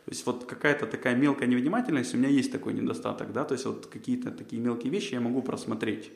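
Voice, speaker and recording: A man's voice: mid-range voice, fairly even intonation, quick pace, vocal fry, reverberant. A man, a podcast co-host, answering interview questions in a rambling way, with many fillers and restarts. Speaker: job candidate, podcast co-host.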